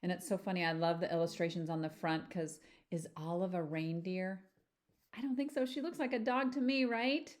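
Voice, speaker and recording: Female storybook reader, teacher type, animated delivery, talks directly to children. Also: on the low side, moderate pace. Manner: animated, addresses young listeners with questions. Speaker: teacher reading a picture book aloud. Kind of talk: children's storybook read-aloud with chatty asides.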